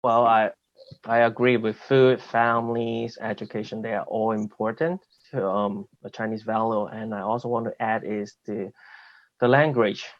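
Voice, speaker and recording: A man's voice: on the low side, level tone, moderate pace, dry recording, muffled recording. A man from Hong Kong with a Cantonese accent, speaking as a panel guest, hesitant and full of fillers and restarts. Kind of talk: livestream panel discussion.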